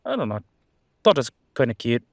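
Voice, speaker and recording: A man's voice: mid-range voice, big pitch swings, brisk, no room sound, clean studio sound. A man playing a cheeky, easygoing immortal headless horseman in a roleplay audio drama, talking in a casual, rambling, reassuring way. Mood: playful, casual.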